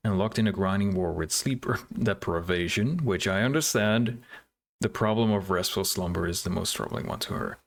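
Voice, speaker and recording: A man hosting a reaction video, commenting casually and hesitantly as he thinks aloud. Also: deep voice. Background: music.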